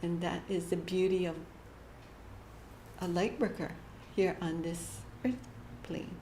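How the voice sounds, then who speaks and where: A woman giving a short inspirational church message, gentle and halting, often laughing at herself. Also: lowish voice, level tone. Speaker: church speaker. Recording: echoey hall with faint room noise.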